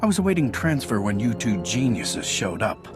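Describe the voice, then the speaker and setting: Game casting: cold, scheming secret agent, lowish voice, sardonic and superior, prominent score behind. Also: male voice, big pitch swings, moderate pace. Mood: smug, explanatory. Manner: sardonic, condescending. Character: cynical, scheming male intelligence agent, coldly condescending. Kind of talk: video game dialogue acting. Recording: music clearly behind the voice.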